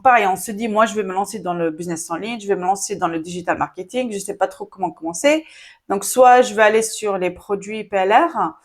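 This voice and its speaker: A woman giving casual online-business advice straight to her viewers, chatty and full of fillers, with a hint of an Arabic first language. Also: mid-range voice. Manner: chatty, frequent fillers, addresses viewers directly. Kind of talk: casual talking-to-camera advice.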